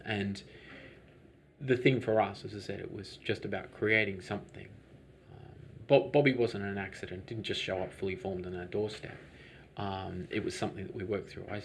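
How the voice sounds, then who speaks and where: A man with a low-pitched voice answers interview questions as a business owner. He talks in a rambling, hesitant way, often restarting sentences. A faint hum of ambience sits behind him. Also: vocal fry. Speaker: lavender farm business owner.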